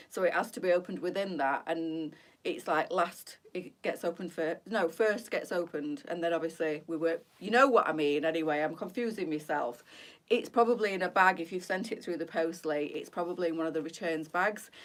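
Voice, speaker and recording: A woman with a Yorkshire accent hosting a boutique live stream, chatting casually and rambling with frequent laughter. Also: lowish voice. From Yorkshire, England.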